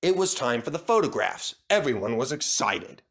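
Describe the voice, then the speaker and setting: Male narrator for children's stories, scripted read, expressive and varied pitch. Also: moderate pace. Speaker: storyteller.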